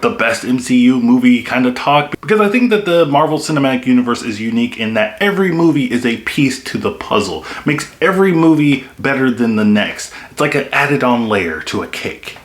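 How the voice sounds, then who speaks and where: Young man, casual film reviewer, enthusiastic and chatty, medium pitch, roomy echo. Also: a bit bright. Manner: chatty and enthusiastic, with many "like" and "you know" fillers. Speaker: movie reviewer. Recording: echoey room.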